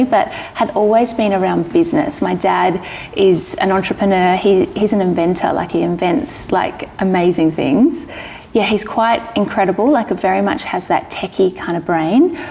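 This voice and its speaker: Gravelly-voiced Australian woman telling stories. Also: medium pitch, darkish tone, big-room sound, slight background noise. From Sydney, Australia. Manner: chatty, fast run-on storytelling with many "like"s. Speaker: guest, publicist and entrepreneur. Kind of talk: casual interview chat.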